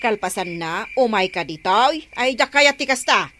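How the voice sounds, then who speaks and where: A woman's voice: medium pitch, lively intonation, moderate pace, pushed and bright, slight background noise. A woman with an Ilocano accent playing an indignant, scolding village storekeeper in a radio comedy drama.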